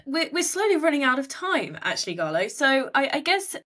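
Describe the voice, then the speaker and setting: Bright-voiced woman hosting an interview. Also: high-pitched, big pitch swings, a little fast. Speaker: podcast host. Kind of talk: conversational interviewing.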